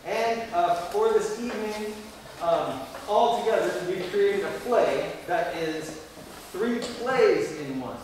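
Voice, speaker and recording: Male teacher introducing a show, higher-pitched voice, informal and halting, recorded from far off. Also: animated, a little mellow. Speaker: teacher introducing a school play. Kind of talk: live welcome speech to an audience. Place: large echoing indoor performance space. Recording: very echoey hall from far off, faint noise.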